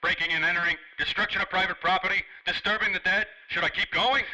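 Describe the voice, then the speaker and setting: An actor playing a hot-tempered, boozing ex-private detective, with brash, clipped slang in 1930s radio noir style. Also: male voice, sits high, steady tone, fast, pushed and bright, highs cut off. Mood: angry, threatening.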